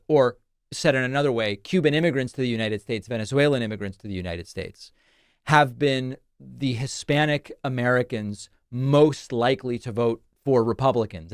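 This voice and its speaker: A man's voice: mid-range voice, clean tone, no echo, clean studio sound. A man from Argentina whose first language is Spanish, hosting a political commentary monologue with emphatic, scornful delivery. He reads quoted posts in a mocking tone. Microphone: studio broadcast mic.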